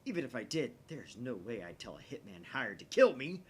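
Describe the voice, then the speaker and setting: A man's voice: medium pitch, very animated, brisk, slight background noise, natural voice. A man voicing a paranoid, melodramatic young self-styled mad scientist in a visual novel, theatrical and suspicious. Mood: dramatic suspicion. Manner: theatrical, suspicious, dramatic whispers and outbursts.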